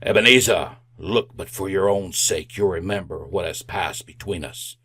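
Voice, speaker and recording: A man's voice: low-pitched, moderate pace, bright, forceful tone, breathy edge, exaggerated voice. An actor playing the mournful ghost of a dead businessman in an old-time radio drama, solemn, flowery and declamatory. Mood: grave warning.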